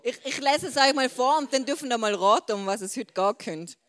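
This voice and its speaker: A woman's voice: a little high, expressive, a little fast, fairly bright tone. A woman in her twenties with a Swiss German accent, speaking informally to a group in a chatty way and dropping in English words. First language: Swiss German dialect. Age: 20s.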